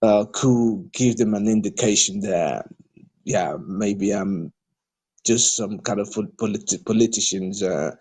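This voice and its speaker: A man's voice: lowish voice, unhurried, darkish tone, husky. A man from Nigeria, speaking for a political party in an audio discussion, hesitant with many fillers and restarts but growing emphatic when criticising politicians. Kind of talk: audio discussion replying to a participant.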